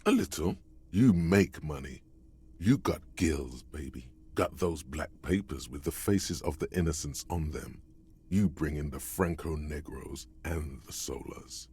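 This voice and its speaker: A game's inner narrator with a very deep, husky voice. He sounds wry and goading as he needles the player with close, confiding remarks. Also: animated, a little slow, a little mellow, no echo, full character voice. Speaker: game narrator voice. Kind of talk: scripted game narration.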